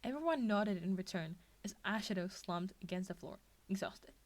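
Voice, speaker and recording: A woman's voice: mid-range voice, lively intonation, normal speed. A woman reading a scripted fan fiction story aloud as its narrator. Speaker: podfic reader.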